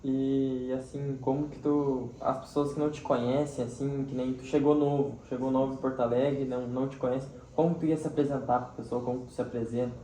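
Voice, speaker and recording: A man's voice: medium pitch, level tone, a little fast, hardly any pauses, warm tone. A young man with a southern Brazilian accent asking casual interview questions in hesitant, searching phrasing. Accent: southern Brazilian, gaúcho. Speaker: student interviewer. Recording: roomy, distant mic, faint background noise.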